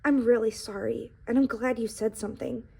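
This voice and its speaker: A woman's voice: on the high side, steady tone, moderate pace, creaky, dark tone, slight background noise. A woman voicing a young male cake in a children's storybook, a loyal, easygoing best friend who speaks sincerely and sheepishly. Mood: sorry.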